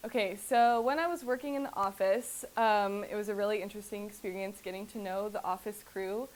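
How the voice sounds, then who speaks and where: A woman's voice: mid-range voice, steady tone, fairly quick, poor audio. A young woman, a graduating student, chatting in a lightly self-deprecating way. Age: young adult. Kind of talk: casual personal reminiscence.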